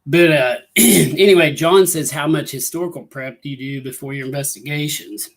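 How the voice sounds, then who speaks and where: Hoarse man hosting a ghost hunting live show. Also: a little high, moderate pace. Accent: Midwestern American. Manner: rambling, many fillers like "you know" and "uh". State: hoarse from a cold, clearing his throat. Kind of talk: casual live-stream chat, reading viewer comments.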